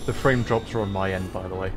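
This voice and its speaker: A man's voice: sits low, fairly even intonation, quick pace, over music. Chatty man streaming a game. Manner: chatty, laughs and hums along. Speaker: VTuber streamer. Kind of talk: casual gameplay commentary.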